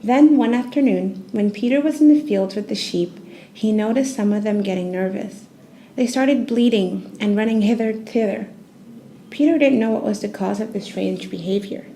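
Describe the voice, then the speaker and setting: A woman storyteller reading a children's bedtime tale in a gentle, expressive, soothing narration style. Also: medium pitch, normal speed, a little hiss or hum.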